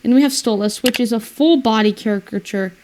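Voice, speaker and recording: A woman's voice: fairly high pitch, a little fast, darkish tone, slight background noise. A woman narrating her video editing in a casual, rambling way, thinking aloud and exclaiming to herself. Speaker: video editor and creator narrating her screen work.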